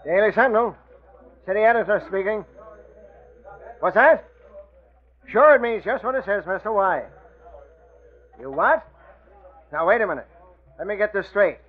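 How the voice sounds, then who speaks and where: An actor playing an excitable, brusque newspaper city editor in a 1940s radio drama, on an old muffled recording. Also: male voice, sits high, moderate pace, long pauses. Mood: brusque, incredulous.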